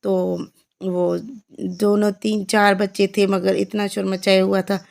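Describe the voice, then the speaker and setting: A woman with a Pakistani accent and a hoarse, heavy voice, narrating her village vlog in a chatty, repetitive way. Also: mid-range voice, little pitch movement, a little slow, darkish tone. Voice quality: heavy, croaky voice. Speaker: family vlogger. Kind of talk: casual vlog voice-over.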